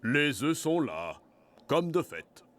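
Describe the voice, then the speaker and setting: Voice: mid-range voice, big pitch swings, slow, a bit bright. A performer voicing an odd, matter-of-fact owl guide in a children's cartoon, given to hooting. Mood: cryptic, calm. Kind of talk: animated children's film dub.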